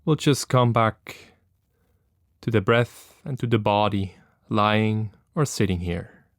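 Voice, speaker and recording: A man leading a guided meditation as an instructor, speaking calmly and gently with long, unhurried pauses. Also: lowish voice, dry recording, clean studio sound.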